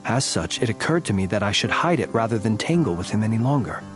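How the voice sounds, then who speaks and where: A man's voice: lowish voice, expressive, fast talker, soft background music, lightly acted. An actor playing a courtly, world-weary, proud and stoic male warrior in a video game, speaking in formal, archaic phrasing. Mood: measured, explaining. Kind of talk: video game voice acting, scripted dialogue.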